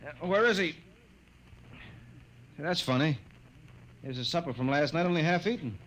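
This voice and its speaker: A man's voice: a little high, normal speed, a bit bright, close to an everyday voice. An actor with an American accent playing a stern, skeptical middle-aged father in a 1950s radio drama, questioning brusquely. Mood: casual. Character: stern, skeptical father and government office man, easily exasperated. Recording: noisy vintage 1950s radio recording.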